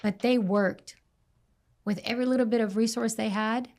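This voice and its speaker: A woman, a registered nurse, answering interview questions earnestly, with halting restarts. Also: a little high, fairly even intonation, vocal fry, darkish tone.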